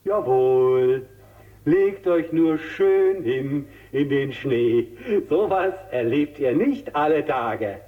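A man's voice: mid-range voice, expressive, slow, big-room sound. A man playing a gushing television entertainer in a radio drama, savoring and repeating words like a showman, in a tinny recording. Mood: gleeful. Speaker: TV presenter in a drama.

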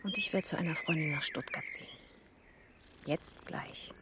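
A woman's voice: sits low, normal speed, whispered. An actress playing a resolute wife determined to leave her husband in a radio crime drama.

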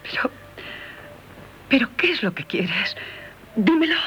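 Devoted, insistent young lover. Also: female voice, fairly high pitch, sing-song, takes it slowly, fairly bright tone, lo-fi sound, heavily acted. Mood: pleading. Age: young adult. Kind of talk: 1970s radio drama acting. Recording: noisy 1970s radio broadcast recording.

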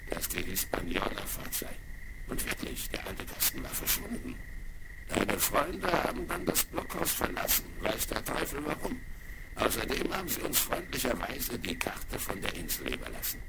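A cunning old pirate leader in a vintage radio play, his gravelly voice roaming up and down as he coaxes and threatens. The sound comes from a crackly vinyl record with loud surface noise. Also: mid-range voice. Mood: sly friendly. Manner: sly and wheedling, bursting into gruff commands. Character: cunning scheming male pirate cook, one-legged leader. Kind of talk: 1970s radio play acting.

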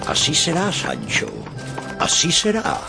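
A man's voice: a little high, big pitch swings, unhurried, vocal fry, heavily acted. A man playing a deluded old knight-errant, grandiose and stubborn, in a pompous archaic manner for a radio drama. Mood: lofty. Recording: music bed behind the voice.

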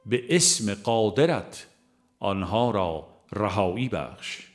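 A man reading a religious audiobook in a measured, formal, devotional way. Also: lowish voice. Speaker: audiobook narrator. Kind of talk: scripted audiobook narration of a religious text. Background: music.

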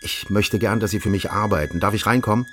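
An actor playing a secretive, quietly menacing official in a radio crime drama, measured, formal and insinuating. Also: male voice, lowish voice, background noise, close to an everyday voice. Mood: polite, insinuating. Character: smooth-mannered, menacing, secretive official recruiting a detective.

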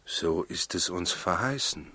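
A man's voice: sits low, animated, unhurried, bright, forceful tone, no room sound, full character voice. An actor playing a humble, guarded, plain-spoken husband and father in a 1960s radio drama. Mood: wary. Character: wary, humble husband guarding his newborn child.

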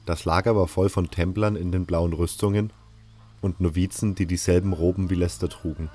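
A man's voice: low-pitched, steady tone, moderate pace, mellow, husky. A man narrating a scripted fantasy audio drama in the first person as a storyteller. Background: music.

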